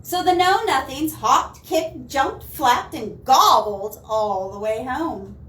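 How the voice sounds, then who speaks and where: A woman's voice: sits high, animated, slow pace, a bit bright. A woman storyteller reading a children's picture book aloud expressively, with playful questions to listeners. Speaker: library storyteller. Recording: echoey room, distant mic, faint noise.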